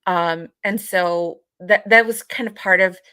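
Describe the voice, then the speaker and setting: A young adult woman co-hosting a podcast in reflective, casual conversation, haltingly repeating phrases as she thinks. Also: fairly low pitch, fairly even intonation, pushed and bright, clear, resonant tone, no echo. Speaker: podcast co-host.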